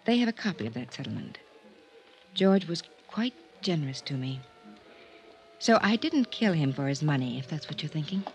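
An actress playing a cool, candid, imperious widow in a 1950s radio drama, composed and direct. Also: female voice, low voice, very animated, moderate pace, a little mellow, a little hiss or hum. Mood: haughty, direct. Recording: 1950s radio recording with music.

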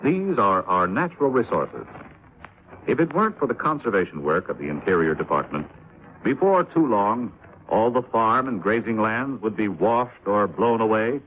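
A man's voice: lowish voice, very animated, moderate pace. A man with an American accent delivering scripted 1950s radio narration as an announcer. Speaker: announcer of a public information talk. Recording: dull 1950s radio broadcast, faint noise.

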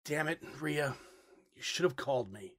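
A man playing a cocky, affectionate rebel pirate lover in an audio drama, gruff and blunt with a flirtatious edge. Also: fairly high pitch, animated, normal speed, natural voice. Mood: gruff concern.

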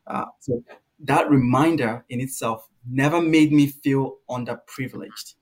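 A man's voice: medium pitch, a little slow. A young man from Nigeria, a guest in a casual interview, telling stories at length with many ums and an easy laugh. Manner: warm storyteller, laughs easily, many fillers.

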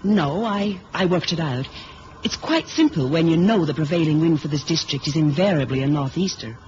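A woman's voice: sits low, expressive, moderate pace, darkish tone. An actress playing an earnest, vulnerable young woman on a spy mission in a 1970s radio drama, speaking tentatively. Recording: muffled, faintly noisy old radio recording.

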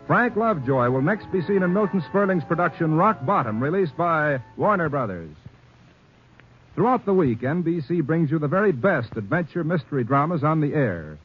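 A muffled 1950s radio recording with faint music carries a male announcer with an American accent. His voice is high for a man. He reads scripted promotion in a polished, emphatic way. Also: lively intonation, normal speed.

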